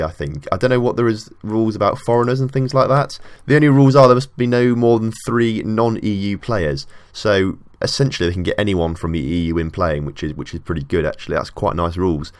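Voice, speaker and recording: Low-voiced man chatting over a game. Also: fairly quick, clean tone. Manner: chatty, thinking aloud with many fillers. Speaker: video game channel host. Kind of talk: casual gameplay commentary.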